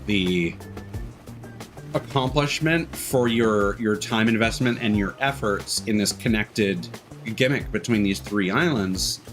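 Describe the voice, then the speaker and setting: A man with a fairly low voice gives casual commentary on a game livestream. He talks in a rambling, analytical, opinionated way, with music playing behind him. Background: video game music.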